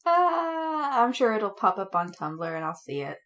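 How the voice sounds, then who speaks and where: A woman's voice: medium pitch, big pitch swings, unhurried, a bit bright. A woman podcast co-host in casual chat, reacting to her partner with brief, offhand remarks.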